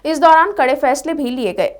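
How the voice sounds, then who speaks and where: A woman's voice: high voice, lively intonation, normal speed. A woman presenting scripted news as the anchor of a bulletin.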